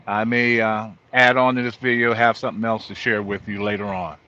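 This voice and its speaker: A man's voice: on the low side, flat delivery, brisk, bright, forceful tone. A middle-aged or older man giving a casual, explanatory vlog talk in a plainspoken, folksy, rambling way, as a trucker hosting his own video. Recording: dull lo-fi sound inside vehicle.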